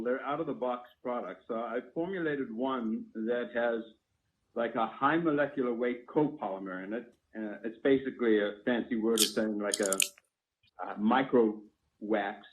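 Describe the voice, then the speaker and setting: Guy on a phone line, tinny sound, mid pitch, casually walking through his products step by step, kinda technical. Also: noisy background. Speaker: product inventor and company founder. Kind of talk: informal product explanation on a live call. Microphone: phone call.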